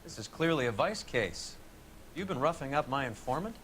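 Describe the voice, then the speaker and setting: Smug, patronizing vice detective. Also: male voice, mid-range voice, very animated, moderate pace, fairly bright tone, slight background noise. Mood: smug, threatening. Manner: sarcastic, patronizing. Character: smug, condescending crooked vice squad detective. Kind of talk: dramatic video game acting.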